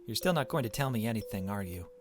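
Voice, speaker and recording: A man's voice: on the low side, lively intonation, fast talker, background noise, close to an everyday voice. A man voicing a guarded young woman from a visual novel, asking blunt, wary questions. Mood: wary, frustrated.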